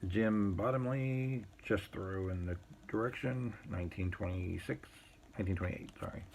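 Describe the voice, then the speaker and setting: Low, gravelly-voiced man reading out collectibles. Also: moderate pace, a little mellow, slight background noise. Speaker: collector showing vintage baseball cards. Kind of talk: casual unscripted show-and-tell, reading out cards.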